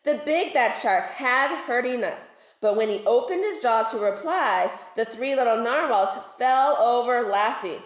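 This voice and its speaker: A woman reading a children's storybook aloud like a teacher, deliberate and expressive with dramatic emphasis. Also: fairly high pitch. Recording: roomy, echoing home recording.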